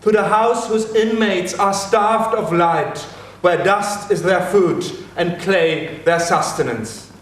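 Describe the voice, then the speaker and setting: A man playing a dead man who slowly recounts the underworld in an ominous recital. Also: high voice. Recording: echoey hall from a distance, faint noise.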